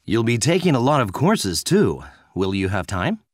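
A man voicing students and a professor in scripted dialogues for a listening exam, with a clear, friendly delivery. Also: medium pitch, big pitch swings, moderate pace, no echo, clean studio sound.

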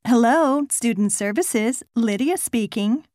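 A woman voicing students, a professor and an office clerk in scripted exam dialogues, with a clear, polite delivery. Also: fairly high pitch, expressive, slow, a little mellow, no echo, studio quality.

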